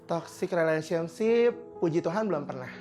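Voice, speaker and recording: A man's voice: on the high side, lively intonation, normal speed, dark tone. A man in his mid thirties giving short, casual answers in an interview. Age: 30s. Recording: faint piano music behind. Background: faint piano music.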